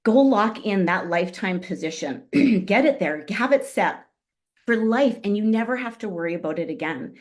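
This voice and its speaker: A woman giving an upbeat motivational business training talk on a call, fighting a cough and often clearing her throat. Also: mid-range voice, lively intonation, normal speed. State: coughing, voice strained. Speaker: guest speaker on a business call.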